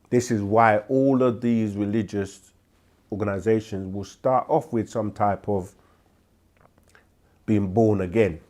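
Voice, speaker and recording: A man hosting a live question-and-answer stream, speaking with a sore throat and a congested voice, deliberate and full of restarts. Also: lowish voice, darkish tone. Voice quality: slow, deliberate delivery with frequent restarts. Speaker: host answering viewer questions. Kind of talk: livestream Q&A teaching.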